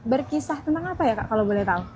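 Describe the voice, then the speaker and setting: A woman's voice: fairly high pitch, animated, very fast, darkish tone, a little hiss or hum. A woman co-hosting a festival show, asking friendly, inquisitive questions in a casual chat at an outdoor event. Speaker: co-host. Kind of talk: casual interview.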